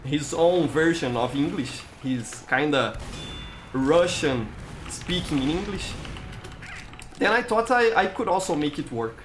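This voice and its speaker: Male streamer, Brazilian accent, animated delivery, halting word-searching, background music on the stream. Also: fairly high pitch. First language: Portuguese. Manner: halting, often repeats words while searching for them. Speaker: game streamer. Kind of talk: casual gameplay commentary, answering chat.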